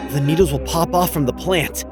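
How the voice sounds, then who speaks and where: A man playing an anxious, questioning young horse racer in a dramatic anime fandub, excitable and incredulous in manner. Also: on the high side, fairly quick, music in the background, lightly acted. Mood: tense, reasoning. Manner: excitable, incredulous, swinging from muttering to shouting.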